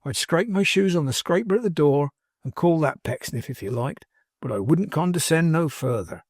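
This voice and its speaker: A man's voice: fairly high pitch, lively intonation, a little fast, a little mellow, dry recording, studio quality. A man voicing a cheery, loyal young working-class manservant in a storybook, with bold, insistent, colloquial and ungrammatical speech. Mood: defiant, impassioned. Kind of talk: dramatised audiobook character dialogue.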